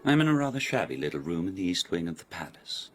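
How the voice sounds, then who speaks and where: An actor playing a haughty, sardonic palace lord in a radio drama, speaking with formal, mocking insinuation. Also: male voice, sits low, very animated, quick pace, music in the background. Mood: scornful.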